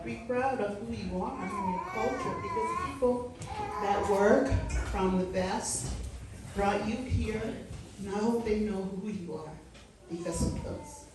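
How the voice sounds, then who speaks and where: Slow, heartfelt woman in a hall. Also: mid-range voice, animated, noisy background. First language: Samoan. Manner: slow, heartfelt, emphatic delivery. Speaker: community leader giving a tribute. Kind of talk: public tribute speech. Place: large echoey hall. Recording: echoey hall from far off.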